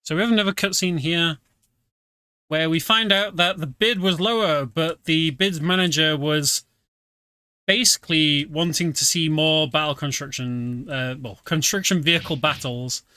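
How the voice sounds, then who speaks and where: A man streaming a game, explaining the story and fights in a relaxed, chuckling way. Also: fairly high pitch, normal speed, clean tone, no echo. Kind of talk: casual game commentary. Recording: game music and sounds behind. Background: game music.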